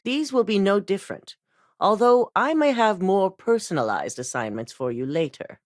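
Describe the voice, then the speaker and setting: An actress voicing a composed, commanding corporate executive woman in a video game, speaking in a measured, formal, authoritative way. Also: fairly low pitch, darkish tone, no echo, clean studio sound. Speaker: corporate executive giving assignments.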